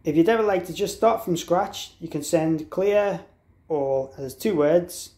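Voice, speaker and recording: A man's voice: a little high, measured pace, a little mellow. A man presenting an informal, unscripted product tutorial, explaining step by step and spelling out letters and digits. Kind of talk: instructional walkthrough, informal and unscripted. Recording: echoey, roomy sound.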